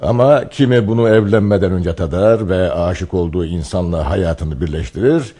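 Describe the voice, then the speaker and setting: A man's voice: low voice, normal speed, mellow, slightly rough. An actor playing a wry, knowing male storyteller in a Turkish radio play, with a theatrical, teasing delivery. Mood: probing, teasing. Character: wry male storyteller who chats knowingly with his hero. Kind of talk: Turkish radio theatre acting, narration and dialogue.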